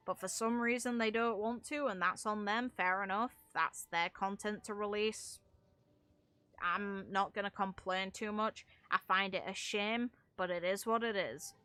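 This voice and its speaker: A woman's voice: medium pitch, bright, forceful tone, dry recording. A young woman giving casual, opinionated game commentary in a blunt way, swearing freely. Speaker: gaming content creator.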